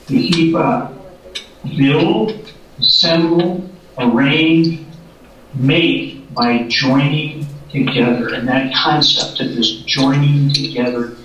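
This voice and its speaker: A man giving a lecture with slides, halting and repeating words as he thinks, recorded from a distant camera in a lecture room. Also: medium pitch, a bit bright, very echoey, dull audio, a little hiss or hum.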